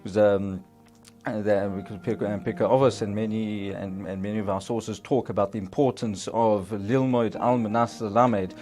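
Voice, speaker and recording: A man's voice: fairly low pitch, fairly even intonation, moderate pace, warm tone, faint music behind. A young man from Johannesburg, a teacher trainee speaking thoughtfully with hesitant fillers in a testimonial interview. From Johannesburg, South Africa.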